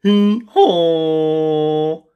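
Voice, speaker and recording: A comic donkey from a children's storybook. It brays loudly and with great exaggeration. Each hee-haw is drawn out. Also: mid-range voice, extremely slow, clean tone, highs cut off. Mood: braying.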